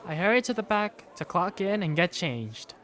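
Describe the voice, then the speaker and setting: Lively, lowish-voiced woman narrator. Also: normal speed. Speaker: narrator and lead character voice. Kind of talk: first-person scripted narration, amateur game fandub. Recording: faint music behind the voice.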